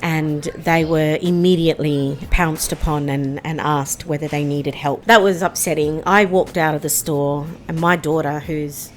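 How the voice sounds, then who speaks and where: A woman with a slightly low voice tells a personal story, reflective and firm. She speaks in an easy, conversational way. A faint hiss of noise sits under her voice. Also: steady tone, unhurried, few pauses. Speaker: interviewee.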